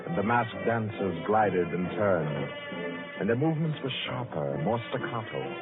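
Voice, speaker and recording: A man's voice: lowish voice, lively intonation, measured pace. A man narrating a suspense tale for an old radio drama in a solemn, scripted storytelling manner. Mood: suspenseful. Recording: muffled, tinny old radio recording. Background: music.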